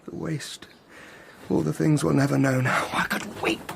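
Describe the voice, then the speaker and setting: An actor playing a distraught, domineering Edwardian English husband in a period radio drama, agitated, stammering and imperious. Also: male voice, a little high, measured pace, creaky, noisy background. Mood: haunted, dismayed.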